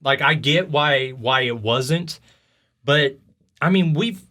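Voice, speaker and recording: A man's voice: medium pitch, takes it slowly, pushed and bright. A man hosting a video game podcast, chatting casually in a rambling, slangy storytelling way. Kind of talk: casual chat about video games.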